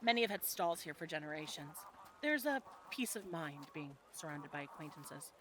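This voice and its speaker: A woman playing a friendly, teasing medieval fantasy tavern local in an audio roleplay, talking in a conversational, gently instructive way to a newcomer. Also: deep voice, animated, normal speed, noisy background. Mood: guiding. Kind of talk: audio roleplay acting, adventure ASMR.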